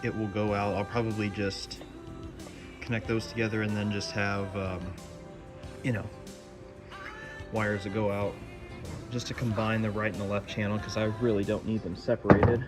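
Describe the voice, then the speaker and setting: A man's voice: fairly low pitch, level tone, normal speed, pauses often, over music. A man talking casually through a hands-on DIY electronics project as he presents it.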